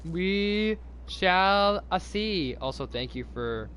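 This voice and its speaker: A man's voice: high-pitched, expressive, slow pace, fairly bright tone, clean tone. A man hosting a casual live stream, chatting to viewers in a rambling way, repeating himself and restarting his sentences.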